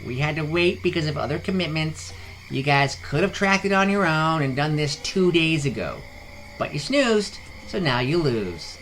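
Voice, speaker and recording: A man's voice: fairly high pitch, lively intonation, normal speed, a bit bright, a little hiss or hum, pitched above the performer's own voice, low-quality recording. A man voicing a smug adventurer who reasons and taunts as he argues. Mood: smug reasoning. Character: smug, needling male adventurer scoring points in argument.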